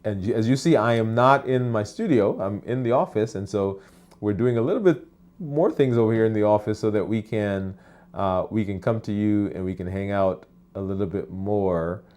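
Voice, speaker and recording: A man's voice: on the low side, moderate pace, darkish tone. A man, a physician, hosting a casual live stream from his office, chatting with fillers and restarts while he answers viewer comments.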